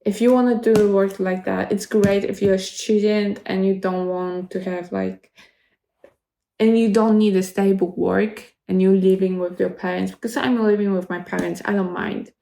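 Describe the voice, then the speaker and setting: A woman's voice: medium pitch, steady tone, creaky, warm tone, echoey room. A woman in her twenties with a Polish accent, chatting casually to the camera and wandering from thought to thought with frequent restarts. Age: 20s. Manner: rambling, halting, many restarts. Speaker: vlogger.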